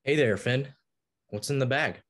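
A man's voice: mid-range voice, normal speed, close to an everyday voice. A man playing a serious, suspicious campus police officer in a comedy table read, stern and officious. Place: indoor room, video call.

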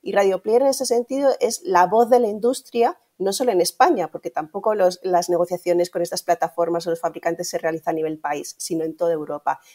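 Female interview guest, middle-aged, Castilian Spanish, lowish pitch, conversational and explanatory, tags phrases with questions. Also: fairly quick, hardly any pauses, warm tone. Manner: explanatory, often ends phrases with a tag question. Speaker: guest, radio industry manager. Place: indoor room at home, on a video call.

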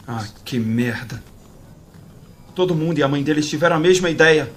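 A man's voice: fairly high pitch, normal speed, fairly bright tone, natural voice. Man acting a rattled getaway driver, noisy ambience. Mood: shocked. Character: rattled, talkative uncle driving the getaway car. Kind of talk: fan-dub game acting in Portuguese.

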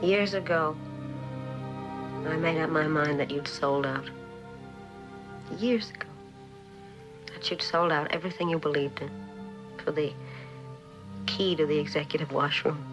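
An actress playing a wounded, proud wife with measured, grave restraint in a 1960s television drama. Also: female voice, deep voice, steady tone, over music. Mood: grave, softening.

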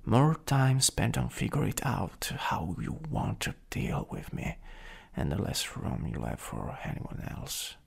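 Breathy, slow Italian-accented supervillain, played by a man. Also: lowish voice, wildly swooping pitch, heavily acted. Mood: coaxing, flirtatious. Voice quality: breathy, often whispering. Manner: slow, teasing, lingering delivery. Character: jealous, possessive, teasing flirtatious male supervillain. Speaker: ASMR roleplay performer. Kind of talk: ASMR roleplay, intimate and breathy.

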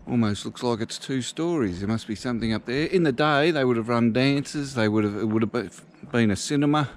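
A man giving a relaxed, casual walking tour outdoors, thinking aloud as he goes. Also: medium pitch, brisk, slight background noise. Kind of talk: casual walk-and-talk narration. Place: outdoors walking through a small country town.

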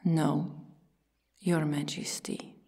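A woman playing a stoic, stern Amazon warrior in an ASMR roleplay, hushed and curt, often whispering. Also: low-pitched, level tone, drawn-out words, creaky, husky, no echo, clean studio sound, exaggerated voice. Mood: dutiful, reluctant. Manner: curt, formal, restrained.

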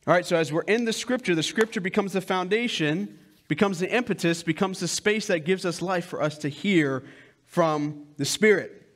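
A man in his thirties preaching a sermon on a church stage through a headset mic, with loud, emphatic lines and hushed asides. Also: high-pitched, moderate pace. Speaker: pastor.

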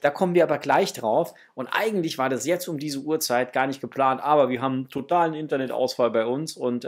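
A man in his thirties or forties chatting casually and affectionately to viewers as the host of an unboxing vlog. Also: fairly high pitch. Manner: effusive, affectionate toward viewers. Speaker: host of a toy unboxing channel. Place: small indoor home room.

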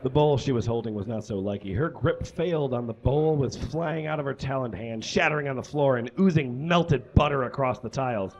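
A man's voice: mid-range voice, a little fast, few pauses, a little mellow, muffled recording. A young man reading a story aloud on a live stage in a theatrical, exaggerated comic style. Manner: dramatic, exaggerated delivery. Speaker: live comedy reader. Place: small indoor stage with curtains and brick.

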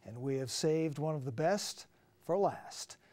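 High-pitched polished male news anchor. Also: animated, a little slow, dark tone. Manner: polished announcer delivery. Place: TV studio news desk.

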